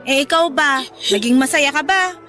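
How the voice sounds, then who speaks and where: Radio drama role: scolding young Filipina confidante, piercingly high and bright, blunt but protective. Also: female voice, normal speed, faint music behind. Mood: indignant, scolding.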